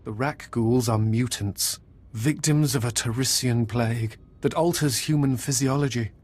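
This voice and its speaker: An actor playing a deferential, efficient imperial liaison officer in a video game, formal and polite in manner. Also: male voice, medium pitch, normal speed, darkish tone, close to an everyday voice. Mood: grave, matter-of-fact.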